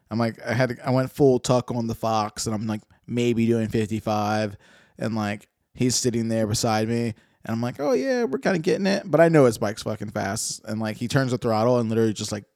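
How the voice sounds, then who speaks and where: A man's voice: mid-range voice, animated, fairly quick, darkish tone, no echo, studio quality. Laughing, rambling man in his thirties. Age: 30s. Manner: rambling, laughing, swears freely. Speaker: podcast host. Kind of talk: casual chat about moped rallies.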